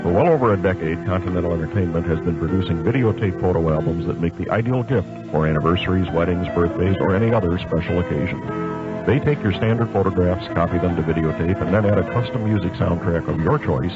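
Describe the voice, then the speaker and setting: A man's voice: sits low, fast talker. A man narrating a scripted radio commercial in an announcer's style. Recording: dull lo-fi radio recording, loud music. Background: loud music bed.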